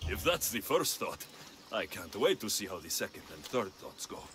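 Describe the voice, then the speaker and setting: A male actor playing a steady, dutiful ancient Greek mercenary hero in a video game cutscene. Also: lowish voice, normal speed, background noise. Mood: flirty. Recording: loud music behind the voice.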